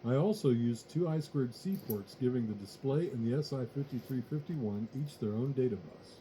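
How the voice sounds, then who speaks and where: Very mellow man narrating a tutorial. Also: medium pitch, expressive, moderate pace, slight background noise, poor audio. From United States.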